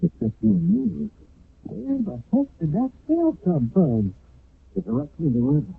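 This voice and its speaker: A worn-out soldier scouting hostile ground, his gravelly voice rising and falling with urgency. The old broadcast sounds thin and phone-like. Faint music sits underneath. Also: high-pitched, sing-song, measured pace, no echo, exaggerated voice. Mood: urgent. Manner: urgent, wary. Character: weary wartime soldier scouting a village. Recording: tinny old radio broadcast.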